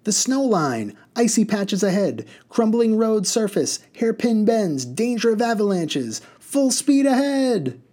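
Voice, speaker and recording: A man's voice: on the high side, expressive, measured pace, dark tone, voice pushed up. A man voicing a reckless, gleeful male mail coach driver who bellows and rambles in a singsong way.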